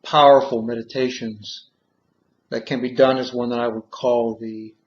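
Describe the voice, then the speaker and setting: A man guiding a meditation in measured short phrases with long pauses, on a dull, muffled recording. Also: medium pitch, flat delivery. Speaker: guided meditation leader. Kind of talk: guided meditation, slow soothing instruction.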